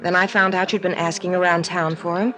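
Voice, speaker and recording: A woman's voice: fairly low pitch, level tone, fairly quick, crowd noise, lightly acted, poor audio. A woman playing a nervous, stranded young woman in a radio drama, talking in an earnest, pleading, apologetic way. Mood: confessing. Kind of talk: 1950s radio drama acting.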